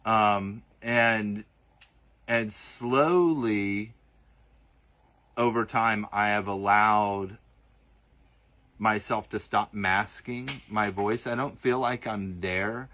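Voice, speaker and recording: A man's voice: fairly low pitch, drawn-out words, long pauses, sharp tone. A man with a light Southern accent hosting a livestream and answering viewer comments in a casual, hesitant way, with lots of fillers and restarts. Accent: Southern US, partly masked. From Southern United States. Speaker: host of a livestream.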